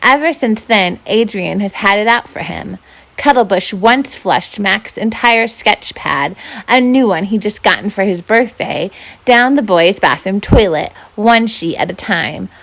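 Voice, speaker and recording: A woman reads a children's novel aloud as a volunteer storyteller. Her pitch is average for a woman, and her narration is expressive, told in the first person. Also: a little slow, gravelly.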